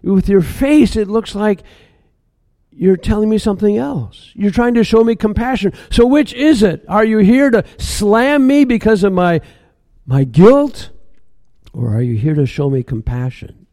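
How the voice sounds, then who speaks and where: A man putting on a pleading young mother, raised high. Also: expressive, unhurried, warm tone. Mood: defensive. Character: troubled young mother, woman, guarded then meek.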